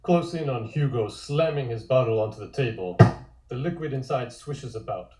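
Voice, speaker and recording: Male narrator, soft-edged and relaxed, reading stage directions, echoey room. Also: mid-range voice, moderate pace.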